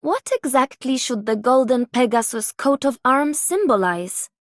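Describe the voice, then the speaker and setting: A woman's voice: sits high, lively intonation, unhurried, dry recording, clean studio sound. A woman voicing an earnest, idealistic young noblewoman knight in a video game. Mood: thoughtful, idealistic. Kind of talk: scripted game character voice lines.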